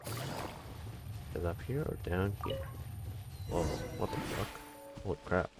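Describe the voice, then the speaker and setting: A man's voice: deep voice, animated, unhurried, loud music behind the voice. A man chatting casually on a gaming livestream, talking in slang and swearing freely. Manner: slangy, swearing, scat-singing to himself. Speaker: game streamer.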